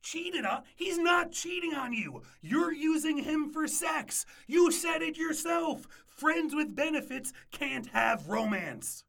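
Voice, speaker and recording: A woman's voice: high voice, lively intonation, takes it slowly, vocal fry, few pauses, fairly bright tone, exaggerated voice. A woman playing a scheming, exasperated best friend in an audio drama.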